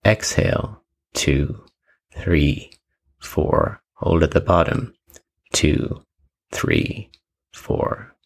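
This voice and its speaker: A man leading a guided meditation with calm, measured instructions and long pauses between phrases. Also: extremely low pitch, vocal fry, slightly rough.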